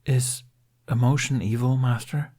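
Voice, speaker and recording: A man's voice: mid-range voice, steady tone, measured pace, warm tone, pitched above the performer's own voice, close to an everyday voice. A man voicing an earnest, troubled sixteen-year-old male apprentice in an audiobook, polite, deferential and hesitant. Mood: troubled, questioning. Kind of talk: audiobook character voice.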